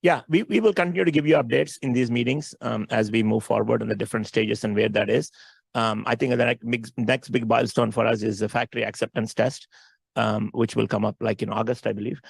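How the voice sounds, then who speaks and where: A male company executive explains things on an earnings call, repeating himself for emphasis. His pace is quick and his voice gravelly, with a dry, close sound. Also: mid-range voice, steady tone, dry recording.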